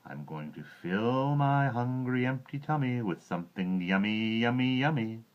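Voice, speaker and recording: A man voicing a greedy, boastful crocodile who gloats slowly over his sly plans. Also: mid-range voice, lively intonation, highs cut off, pitched above the performer's own voice, lightly acted. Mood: gloating.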